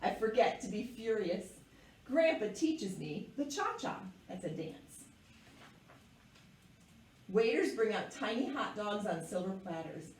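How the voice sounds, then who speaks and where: A woman's voice: mid-range voice, very animated, unhurried, pauses often, slight background noise. A woman voicing a dramatic, precocious little girl who loves fancy French words in a children's picture book. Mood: delighted, showy. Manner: theatrical, precocious. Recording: echoey room, distant microphone.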